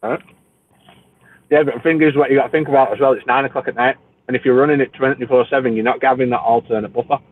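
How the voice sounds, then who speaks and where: A man's voice: medium pitch, steady tone, quick pace. A British man in casual group chat, blunt and teasing in a very colloquial way. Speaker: community chat participant. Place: online voice chat. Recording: tinny phone-like line, faint noise, clicks.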